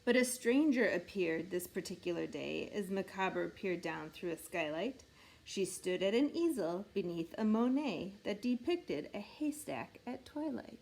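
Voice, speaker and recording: A woman reading a rhyming picture book aloud in an expressive, measured storytelling way meant for children. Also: medium pitch, gravelly, rough recording. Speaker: storybook reader.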